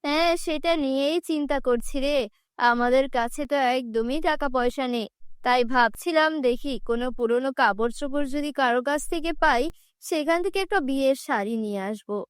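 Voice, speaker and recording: A woman voicing a poor, worried middle-aged village mother in a cartoon, with a gentle, coaxing way of talking. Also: high-pitched, a little fast, clear, resonant tone, no room sound, pitched below the performer's own voice, lightly acted.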